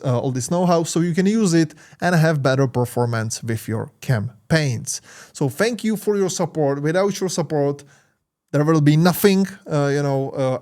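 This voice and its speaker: Tired Czech-accented man, halting tutorial. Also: fairly high pitch, darkish tone. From Czech Republic. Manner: halting, many "uh" fillers and restarts. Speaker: marketing channel host. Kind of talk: screen-share tutorial talk.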